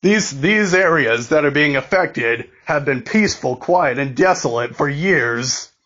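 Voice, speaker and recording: A man delivers an emergency alert in a somewhat high-pitched voice. He reads slowly and formally, halting and repeating words as he goes. The recording is dull and lo-fi. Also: expressive, a bit bright. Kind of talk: scripted emergency broadcast announcement, amateur reading.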